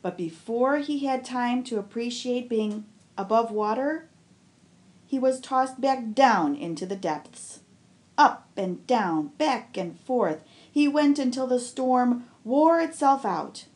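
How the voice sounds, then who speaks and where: A woman on a school's staff reading a children's novel aloud, with expressive storybook narration. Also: a little high, slow.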